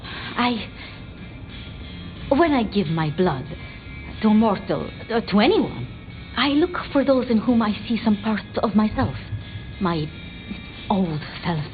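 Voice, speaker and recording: Nervous bookish vampire girl from a game, stammery, voice swoops around, talks real slow, music under it. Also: medium pitch, very animated, narrow, tinny audio. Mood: tender, earnest. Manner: stammering, hesitant, halting and apologetic. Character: timid, flustered, bookish female vampire researcher, devoted to her retainers. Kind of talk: video game dramatic dialogue.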